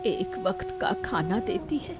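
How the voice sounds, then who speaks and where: A woman's voice: medium pitch, big pitch swings, a little slow, loud music behind the voice. A woman voicing a poor, wronged old mother-in-law in a cartoon melodrama, plaintive and aggrieved. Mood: plaintive, hurt. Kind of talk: Hindi cartoon family melodrama.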